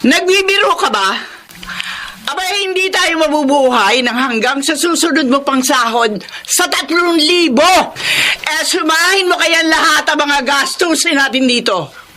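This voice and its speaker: An actress playing a sharp-tongued, practical wife who nags her husband about money and chides her younger sibling. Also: high voice, lively intonation, measured pace, full character voice. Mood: scolding. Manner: nagging, scolding. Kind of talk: classic radio drama acting. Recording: noisy old radio drama recording.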